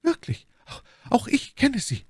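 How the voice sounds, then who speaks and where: A man's voice: a little slow, dry recording, studio quality, lightly acted. A man voicing a scheming, effusive noblewoman in a dramatic audiobook. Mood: startled, embarrassed. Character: scheming adult noblewoman, false, intense, gushing.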